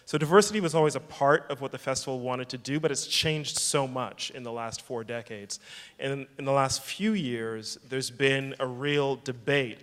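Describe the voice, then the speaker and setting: A man speaking at a public fireside chat, thoughtful and measured, who often restarts a phrase before he finishes it. His mid-pitched voice carries a long reverb from the hall around him. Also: fairly bright tone, clear, resonant tone. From England. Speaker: film festival director.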